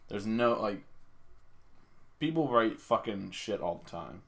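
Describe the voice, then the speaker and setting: A man's voice: lowish voice, expressive, slow pace, creaky. A man chatting casually as a podcast co-host, rambling and swearing freely.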